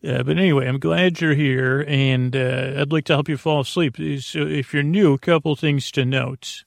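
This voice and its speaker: An American man with a heavily creaky, gravelly voice hosts a bedtime podcast. He meanders through his monologue, stumbling over words and letting thoughts trail off. The sound is dry, with no room around it. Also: on the high side, steady tone, normal speed, no room sound. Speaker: podcast host. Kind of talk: rambling bedtime monologue to help listeners sleep.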